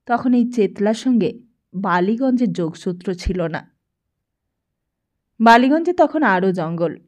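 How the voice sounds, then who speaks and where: A woman's voice: mid-range voice, darkish tone. A woman, reading a scripted story aloud as an audiobook narrator.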